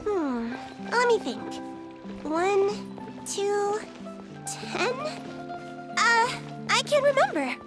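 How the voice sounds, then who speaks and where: A woman's voice: extremely high pitch, big pitch swings, very slow, pauses often, full character voice. A woman voicing an innocent, childlike little female creature in a video game, earnest and a bit hesitant. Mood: thoughtful, innocent. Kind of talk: video game character dialogue. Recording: loud music underneath.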